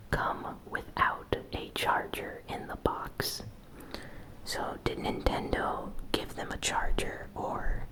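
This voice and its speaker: A woman hosting gaming news in a breathy ASMR whisper, delivering it softly and deliberately in short phrases. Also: low-quality recording. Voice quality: breathy, fully unvoiced whisper. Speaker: host of a gaming news show.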